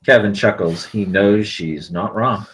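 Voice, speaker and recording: A man's voice: lowish voice, measured pace. A man narrating the stage directions of a film script at a table read, in scripted present-tense narration.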